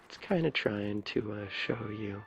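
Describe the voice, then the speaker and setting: A man with a low raspy voice giving an ASMR gameplay tour as a video creator, talking softly in a hesitant, rambling way. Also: measured pace. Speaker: video creator showing his game builds. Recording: dull lo-fi mic, clear background music. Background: game music.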